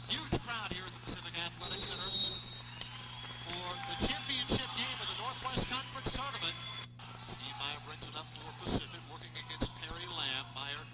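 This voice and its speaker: A man calling live basketball play-by-play on the radio in an excited manner, heard from an old cassette tape. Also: high-pitched, normal speed, heavy background noise.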